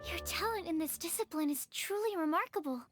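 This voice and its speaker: A woman playing a young, polite, studious alchemist in a video game, careful and gently encouraging. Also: very high voice, expressive, brisk, faint music behind. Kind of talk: video game character acting.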